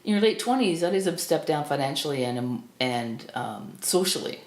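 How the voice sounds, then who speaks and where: A woman in her fifties from Canada, whose first language was Estonian, recalling her life in a reflective, halting way with many restarts. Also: low-pitched, sing-song. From Burlington, Ontario, Canada. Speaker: film critic guest. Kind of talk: reflective personal storytelling. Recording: echoey room.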